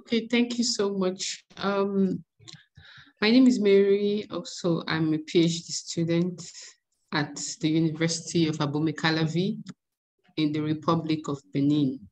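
A woman's voice: fairly low pitch, flat delivery, unhurried, darkish tone, rough recording. A young Nigerian woman introducing herself briefly and friendly on a video call. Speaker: book club member.

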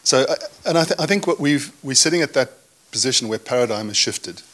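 A man's voice: medium pitch, expressive, fairly quick, fairly bright tone. A man giving his views emphatically and candidly as a panelist in an unscripted discussion. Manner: opinionated, emphatic.

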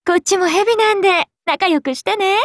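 A woman's voice: very high voice, normal speed, no echo, clean studio sound. A woman voicing a breezy, friendly grown serpent-goddess in a game, with chatty, teasing, laid-back slang. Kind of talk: game character dialogue.

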